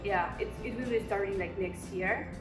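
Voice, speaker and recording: A woman's voice: medium pitch, normal speed. A young woman from Bangladesh talks casually as a student guest, hedging her points as she goes. She sounds a little away from the mic. The room is echoey, with music playing behind her. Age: young adult. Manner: loose and hedging, says "I would say" and "like" often. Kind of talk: casual chat. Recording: roomy sound from a distance with music.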